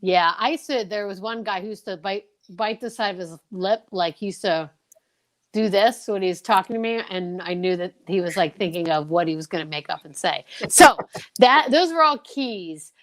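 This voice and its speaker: A woman hosts a casual chat show. She speaks at a middle pitch in an effusive, encouraging way. Her talk is informal and conversational. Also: normal speed. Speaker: show host.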